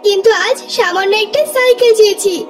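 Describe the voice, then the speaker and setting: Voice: extremely high pitch, quick pace. A performer voicing an eager, stubborn young schoolboy in a cartoon, with music behind. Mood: stubborn, angry. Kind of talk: cartoon voice acting.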